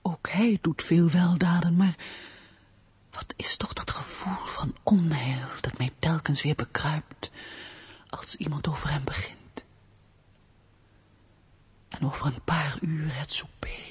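Composed, very husky young companion. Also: female voice, mid-range voice, big pitch swings, fairly quick. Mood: secretive, anxious. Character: composed, firm young woman with a secret, tactful companion. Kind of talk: radio play acting.

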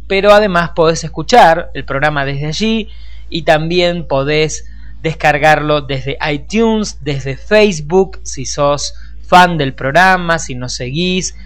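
Argentine male radio host, higher-pitched voice. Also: a bit bright. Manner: discursive, addresses listeners directly. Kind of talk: conversational radio presenting.

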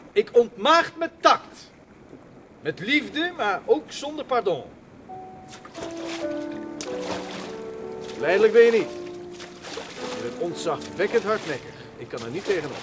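A lovelorn, bombastic romantic loser in a radio drama. His highish voice swings widely as he declaims in florid, theatrical phrases, with prominent music behind him. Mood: grandiose wooing. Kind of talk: radio play monologue.

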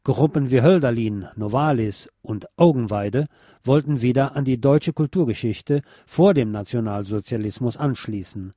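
Mellow man narrating a documentary. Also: medium pitch, normal speed, dry recording, clean studio sound. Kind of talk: scripted documentary narration on music history.

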